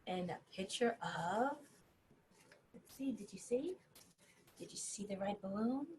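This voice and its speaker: A woman reading a bedtime story to young children, gently encouraging them and pausing to ask questions. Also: medium pitch, animated, slight background noise.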